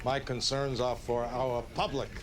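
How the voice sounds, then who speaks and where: Man acting a power-hungry director, smooth politician delivery, medium pitch, video game drama, noisy background. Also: level tone, unhurried, lightly acted. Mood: coldly commanding. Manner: politician-like, emphatic, self-assured.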